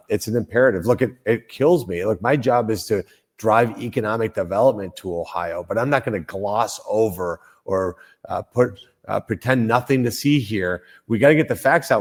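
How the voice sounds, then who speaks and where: An older man with a lowish voice gives an emphatic political interview. He talks forcefully, restarts sentences and repeats phrases for weight. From Colombia. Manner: emphatic, repetitive, frequent restarts. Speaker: senator, guest.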